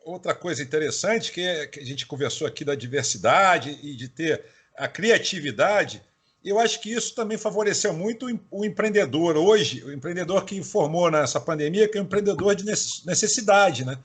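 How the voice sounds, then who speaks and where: A man's voice: on the high side, expressive, fairly quick, a bit bright, slightly rough. A man from Bahia with a Carioca accent, hosting an interview in a chatty, casual way with frequent restarts. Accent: Carioca Portuguese. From Bahia, Brazil. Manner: chatty, laughs while talking, many restarts. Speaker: interviewer host.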